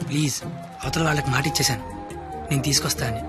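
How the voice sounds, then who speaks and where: Cheeky young male played by a man, fast cocky banter, film comedy, music behind. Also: mid-range voice, steady tone, natural voice. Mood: soft, persuasive. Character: cheeky, boastful young man defending a girl.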